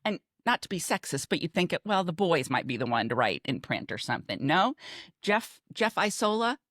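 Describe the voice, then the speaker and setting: A woman's voice: fairly low pitch, sing-song, moderate pace, fairly bright tone, dry recording, clean studio sound. An older American woman from Texas, a podcast co-host reminiscing in casual chat with emphatic, conversational storytelling. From Texas, United States.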